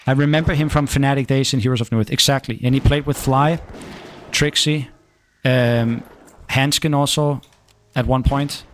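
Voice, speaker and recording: A man's voice: mid-range voice, a little hiss or hum. A young man from Denmark with a Danish accent, chatting casually while streaming a game and answering viewer questions, often stopping to restart his sentences. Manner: halting, restarts often, relaxed. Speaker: gaming streamer.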